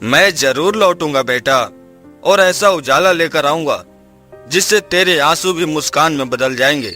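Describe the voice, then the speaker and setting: A man's voice: on the high side, measured pace, sharp tone, faint music behind. A man voicing a humble, honest and brave village father in a cartoon tale, earnest and reassuring.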